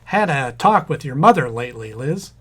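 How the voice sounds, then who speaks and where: An actor playing an exasperated young husband who works in a bank, in an old-time radio comedy. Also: male voice, mid-range voice, very animated, normal speed, sharp tone, husky, heavily acted. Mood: fussing.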